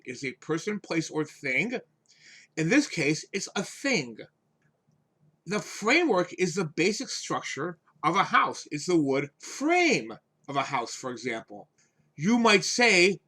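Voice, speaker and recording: A man giving an instructional vocabulary lesson as a teacher, explaining things in a deliberate, emphatic way. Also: high voice, bright, forceful tone. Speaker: English teacher, host.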